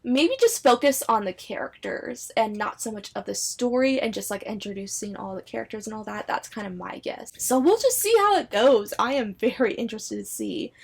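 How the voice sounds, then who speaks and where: A woman's voice: fairly high pitch, animated, normal speed, vocal fry, hardly any pauses, breathy edge. A woman in her twenties chatting casually and enthusiastically to viewers like a gushing fan sharing news. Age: 20s. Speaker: anime and book video creator.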